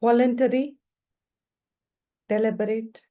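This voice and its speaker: A woman guiding a meditation as a teacher, speaking gently and without hurry in short, spaced phrases. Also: on the high side, steady tone, highs cut off. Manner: gentle, unhurried, pausing between short phrases. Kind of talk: guided meditation instruction.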